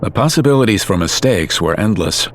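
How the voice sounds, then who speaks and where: A man narrating a story in a calm, measured, scripted way. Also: lowish voice, a little hiss or hum. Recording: music under narration.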